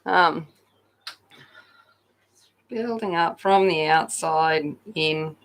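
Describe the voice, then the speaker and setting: A woman hosting a coloring channel, chatting loudly and casually with viewers while she colors, often poking fun at herself. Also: deep voice, very slow, a little hiss or hum. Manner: loud, chatty, self-deprecating.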